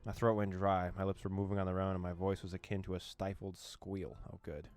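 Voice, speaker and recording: A man's voice: low voice, little pitch movement, fairly quick, a little mellow. A man hosting a let's play, reading a visual novel aloud with playful asides and dramatic reading.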